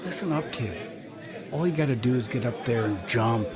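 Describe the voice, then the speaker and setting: A man's voice: mid-range voice, expressive, normal speed, over music, close to an everyday voice. Man playing boisterous rocker in a packed club. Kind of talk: audio drama dialogue. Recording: noisy club crowd, large room. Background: loud crowd.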